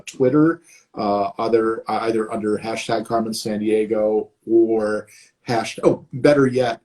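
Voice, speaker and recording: A man's voice: fairly low pitch, a little mellow. A man hosting a casual chat, rambling and full of "uh" fillers. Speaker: host, series creator.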